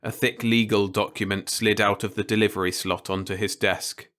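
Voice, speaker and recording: A man's voice: fairly low pitch, level tone, moderate pace, fairly bright tone. A man reading a scripted first-person story as an audiobook narrator.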